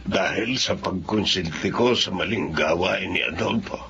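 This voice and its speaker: A man's voice: deep voice, a little slow, bright, forceful tone, exaggerated voice. An actor with a Filipino accent playing a remorseful, lonely old grandfather in a solemn radio drama. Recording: dull lo-fi, clearly noisy recording.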